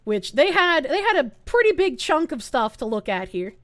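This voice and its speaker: A high-voiced woman streamer whose pitch leaps around as she talks. Her commentary is casual and sarcastic. The recording is dry and close, with no room sound. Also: moderate pace, no echo. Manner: sarcastic and animated.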